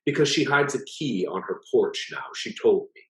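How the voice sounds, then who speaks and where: A man's voice: mid-range voice, animated, normal speed, vocal fry, a bit bright, echoey room, voice pushed up, poor audio. A man voicing a skeptical wife in a story reading, questioning and exasperated. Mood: doubtful.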